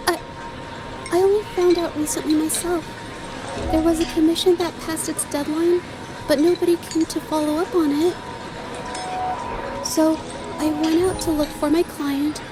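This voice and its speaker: A woman's voice: very high-pitched, steady tone, a little slow, pauses often, rough recording. A woman voicing an anxious, earnest young girl in an anime-style video game. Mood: worried. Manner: earnest, hesitant. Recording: background music under the voice.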